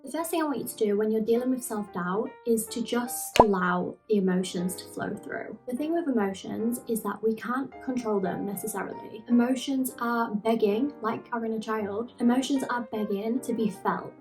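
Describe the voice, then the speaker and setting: A woman vlogger with a raspy, hoarse voice from feeling under the weather, giving a gentle, encouraging one-on-one pep talk. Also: fairly high pitch, normal speed, few pauses, faint music behind.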